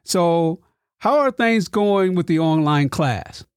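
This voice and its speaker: A man's voice: sits high, animated, slow pace, smooth, no echo, clean studio sound. A man hosting a podcast interview with his students, enthusiastic and formal in his introductions. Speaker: podcast host and instructor.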